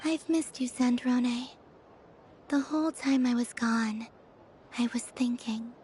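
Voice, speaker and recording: A woman playing a serene, dreamy young maiden in a video game, soft and gentle, matter-of-fact even when teasing. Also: a little high, slow, a little hiss or hum. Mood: deadpan, serene. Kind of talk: dramatic video game voice acting. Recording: music behind the voice. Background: music.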